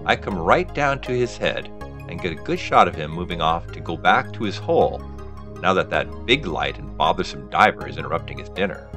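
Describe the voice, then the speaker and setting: A man reading scripted nature documentary narration as a diver, in an explanatory manner with measured sentences. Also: deep voice, big pitch swings, music in the background.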